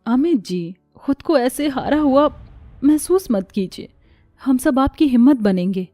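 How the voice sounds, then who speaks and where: A woman voicing a gentle, dutiful young daughter-in-law in a cartoon, respectful and reassuring in manner. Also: sits high, expressive, unhurried, no room sound, soft background music. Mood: consoling.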